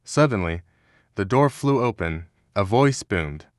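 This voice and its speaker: A man's voice: fairly low pitch, animated, measured pace, no room sound, studio quality. A man reading scripted narration as the narrator of a children's storybook.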